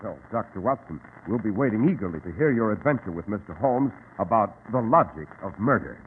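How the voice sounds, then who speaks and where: A man's voice: lowish voice, lively intonation, fairly quick. A man announcing a 1940s radio programme with formal, polished, scripted delivery. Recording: tinny 1940s radio recording. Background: loud organ music.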